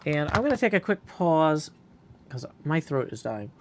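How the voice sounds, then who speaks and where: A man's voice: on the high side, big pitch swings, normal speed. A man casually commentating on a live video game stream as its host, with some background noise.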